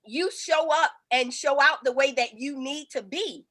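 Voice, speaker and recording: A woman's voice: sits high, unhurried, bright, forceful tone. A woman consulting for small businesses, talking in a rambling way with many ums and restarts on a casual group video call.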